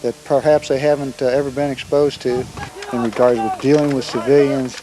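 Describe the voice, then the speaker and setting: Guy being interviewed, flat delivery, middle pitch, just explaining. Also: brisk, rough recording. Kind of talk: unscripted interview.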